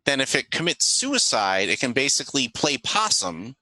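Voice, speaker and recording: Animated, bright-voiced game master who stammers. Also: medium pitch, no echo, rough recording. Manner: halting, stammering with repeated words. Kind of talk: improvised game-mastering, casual chat.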